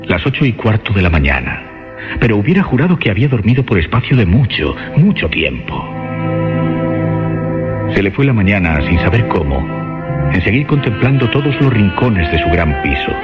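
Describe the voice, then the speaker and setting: An actor narrating an eerie suspense radio play in a solemn, deliberate, dramatic manner with a Castilian Spanish accent. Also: male voice, on the low side, big pitch swings. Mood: measured. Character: grave storyteller of an eerie tale. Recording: dull radio sound under loud music.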